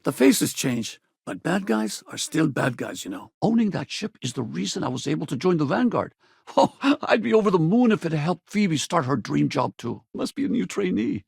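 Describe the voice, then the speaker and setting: An actor playing an easygoing, gruff middle-aged spacefaring militia veteran and regretful father in a video game, with a gravelly voice and a casual, folksy, wry manner. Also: fairly high pitch, sing-song, normal speed, no echo, studio quality, exaggerated voice. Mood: friendly, wry. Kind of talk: video game companion dialogue.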